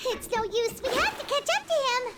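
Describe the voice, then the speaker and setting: A woman voicing a tiny, excitable fairy-like companion in a video game, with a squeaky, childlike voice. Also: moderate pace, exaggerated voice. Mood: frantic. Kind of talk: animated video game voice acting. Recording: reverberant, music behind.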